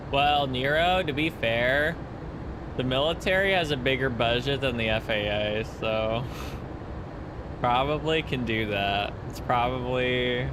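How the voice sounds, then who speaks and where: A man's voice: mid-range voice, noisy background. A man in his early twenties chatting casually with his stream viewers while flying, with rambling asides. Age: 20s. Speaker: flight sim streamer.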